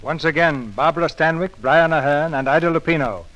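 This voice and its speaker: A man's voice: a little high, brisk, dull audio. Dramatic male radio host over music. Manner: dramatic, formal. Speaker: host introducing the play. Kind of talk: scripted 1940s radio narration. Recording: old radio recording, loud music behind.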